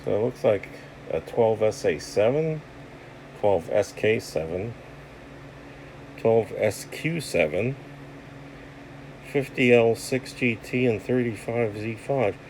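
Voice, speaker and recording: Slow low-voiced guy rambling through a repair vid, lots of vocal fry, noisy room hum behind him. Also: animated, a little mellow. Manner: rambling, thinking aloud; salty, informal language. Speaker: host of a radio repair video. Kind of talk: casual hands-on commentary while inspecting equipment.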